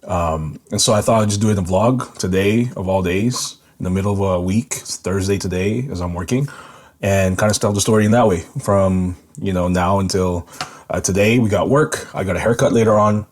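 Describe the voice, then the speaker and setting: A man in his thirties from Indonesia, telling his personal story in a relaxed, rambling vlog with many ums and restarts. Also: low-pitched, fairly quick. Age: 30s. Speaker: vlogger.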